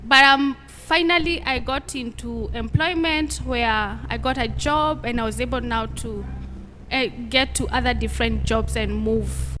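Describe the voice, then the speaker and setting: A woman giving a personal testimony to an audience, halting and pausing between short phrases. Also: a little high, bright, forceful tone. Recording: echoey hall, noisy background.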